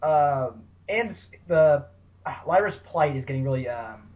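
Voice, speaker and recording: A man's voice: on the high side, lively intonation, a little slow. A man hosting a podcast, chatting casually about fan fiction with banter and frequent swearing. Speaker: podcast host. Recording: dull lo-fi podcast audio.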